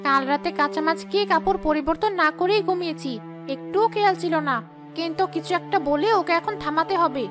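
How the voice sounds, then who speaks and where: A woman's voice: extremely high pitch, a little fast, over music. A woman voicing a greedy, sly, snappish female ghost in a Bengali cartoon story. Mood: greedy, gloating. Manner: snappish, irritable, scolding.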